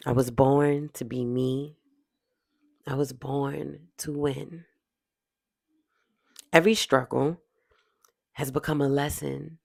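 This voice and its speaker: Slow, very creaky guided meditation voice. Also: medium pitch, level tone, no room sound, clean studio sound. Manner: soothing, deliberate, with drawn-out pauses and repetition. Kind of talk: guided meditation with repeated affirmations.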